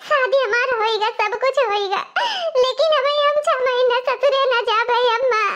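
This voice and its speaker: A woman's voice: very high-pitched, fairly even intonation, normal speed, a bit bright, slight background noise. A woman voicing a stubborn young village bride with an Awadhi accent, coaxing and insistent.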